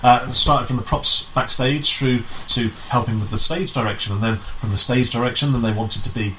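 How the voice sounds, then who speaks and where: Guy guest chatting, normal pitch, keeps repeating words, bit of noise behind. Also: fairly even intonation, sharp tone. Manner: halting, stammered repeats. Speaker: interview guest. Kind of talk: casual conversation. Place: room in own home.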